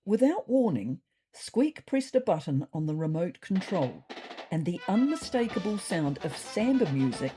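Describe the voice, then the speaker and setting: A woman's voice: fairly low pitch, very animated, moderate pace, warm tone, soft background music. A woman with a New Zealand accent reading scripted comic first-person narration as a podcast host, in a wry, dry-humoured way.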